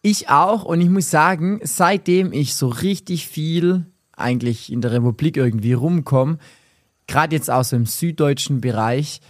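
A man with a Swabian accent hosting a casual podcast chat, his voice strained after a busy weekend, energetic and slangy, laughing often. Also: fairly high pitch, a little slow, dry recording, studio quality. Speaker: podcast host.

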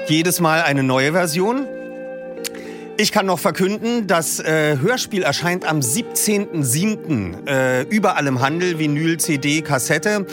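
A man closes a podcast segment with relaxed, chummy announcements. His voice sits a bit higher than average. Clear music plays behind him. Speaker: podcast host.

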